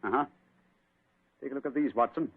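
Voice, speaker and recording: An actor playing a sharp, cool-headed male detective in an old radio drama, crisp and deliberate. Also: mid-range voice, no echo. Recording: thin phone-like radio recording, hiss.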